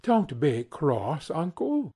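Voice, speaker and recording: A man's voice: on the high side, wildly swooping pitch, slow, warm tone, slightly rough, exaggerated voice. A man voicing a cheerful, good-natured young nephew who speaks earnestly in a storybook.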